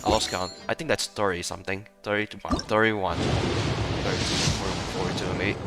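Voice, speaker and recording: A man giving casual live gaming commentary in a halting, repetitive way, often breaking into chuckles. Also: low voice, fairly even intonation. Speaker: game streamer. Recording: loud music under the voice.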